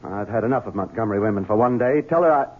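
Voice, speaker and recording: An actor playing a bitter, scheming husband who blusters, in old radio-drama style. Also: male voice, fairly low pitch, racing, husky. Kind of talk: 1970s radio drama acting. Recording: tinny old radio broadcast.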